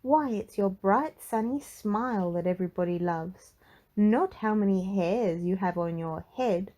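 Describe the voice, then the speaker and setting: A woman's voice: mid-range voice, measured pace, warm tone, close to an everyday voice. A woman voicing a prim, haughty little storybook lady who speaks in an emphatic, exclamatory way. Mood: surprised, reassuring. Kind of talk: storybook character voice.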